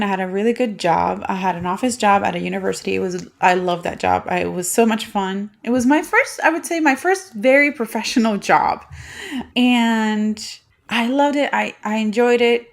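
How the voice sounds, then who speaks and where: A woman's voice: medium pitch, creaky. Woman from puerto rico hosting her podcast, thirties, just chatting through her story. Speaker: podcast host. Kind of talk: solo podcast monologue.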